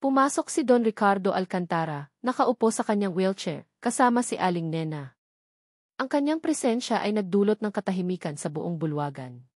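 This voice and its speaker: A woman's voice: mid-range voice, expressive, moderate pace, dry recording, studio quality. A woman with a Filipino accent reading a scripted dramatic story as its narrator.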